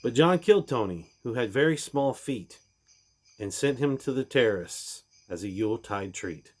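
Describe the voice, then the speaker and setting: A man reading a rhyming storybook aloud in a theatrical way, with deliberate pauses. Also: mid-range voice, animated. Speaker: reader of a storybook.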